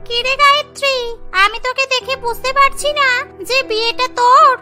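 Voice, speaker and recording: A woman voicing a teasing, sarcastic friend in a cartoon, with mock flattery. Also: extremely high pitch, normal speed, heavily acted. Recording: loud music over the voice.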